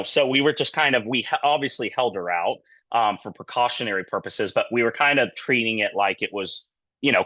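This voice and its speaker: Bright-voiced man lecturing informally, dull audio. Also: lowish voice, dry recording. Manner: conversational, loosely phrased, peppered with "you know" and "kinda". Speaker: sports medicine physician giving a guest lecture. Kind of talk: informal lecture with anecdotes and career advice.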